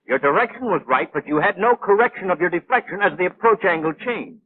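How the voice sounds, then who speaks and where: A high-voiced, exacting male instructor in an old wartime training cartoon. He lectures in precise, clipped phrases with a very lively rise and fall. The sound is a thin, band-limited film soundtrack. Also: brisk, narrow, tinny audio. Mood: instructive. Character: brisk, know-it-all male gunnery instructor, patient but exacting. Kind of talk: 1940s animated training film narration.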